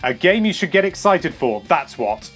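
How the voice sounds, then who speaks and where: High-pitched British man, enthusiastic countdown host. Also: big pitch swings, normal speed, a bit bright, husky. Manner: enthusiastic, cheeky. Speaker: video host. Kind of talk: scripted countdown narration. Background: music.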